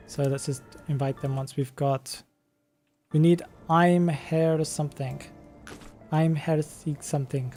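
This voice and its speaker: A streamer from the UK with a British accent, chatting casually on a live stream, reading viewer comments aloud, chuckling often and thinking out loud. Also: mid-range voice, fairly even intonation, unhurried, soft background music. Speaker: game streamer.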